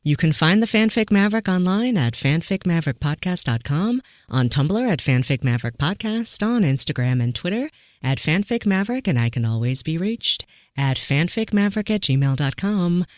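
A woman hosting an interview podcast, effusive and full of praise for her guest. Her voice is somewhat low-pitched and dry, recorded close with no room sound. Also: normal speed, dry recording. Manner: warm, effusive hosting, "so exciting". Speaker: podcast host.

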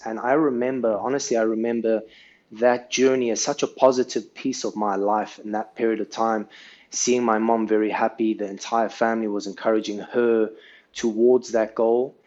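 A man's voice: medium pitch, a little mellow, muffled recording. A South African man, a podcast guest, telling his life story in a casual, earnest way and often ending sentences with "right?".

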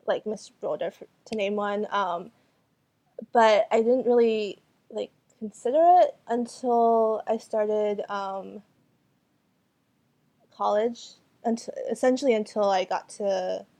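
A young woman artist, Cantonese her first tongue, answers in English at an unhurried, thoughtful pace. She hesitates often and restarts her sentences while she searches for the right words. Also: medium pitch, darkish tone. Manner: hesitant, many fillers and restarts. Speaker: artist and photographer. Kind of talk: interview answers.

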